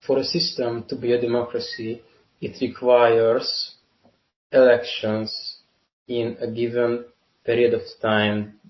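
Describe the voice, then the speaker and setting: A man in his early twenties with a Hungarian accent answers interview questions at a slow, careful pace. He halts and repeats phrases as he explains. The sound is muffled and echoey. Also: mid-range voice. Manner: deliberate, halting, repeats phrases for emphasis. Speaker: interview guest, university student. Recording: roomy, muffled lo-fi call-like audio.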